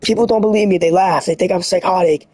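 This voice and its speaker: A man with a hoarse, scratchy voice, rambling earnestly and excitably as a livestreamer. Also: high-pitched, fast talker, no echo, soft background music. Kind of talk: casual livestream monologue.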